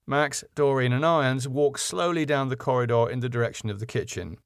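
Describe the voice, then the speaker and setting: A man's voice: mid-range voice, brisk, clear, resonant tone, no room sound, studio quality. A man reading out scene headings and stage directions as scripted narration. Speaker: writer and narrator.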